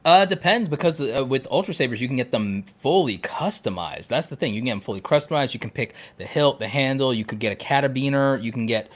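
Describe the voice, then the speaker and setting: A man's voice: medium pitch, animated, fast, hardly any pauses. A man co-hosting a podcast in casual conversation, talking with jokey, nerdy enthusiasm. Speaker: podcast co-host.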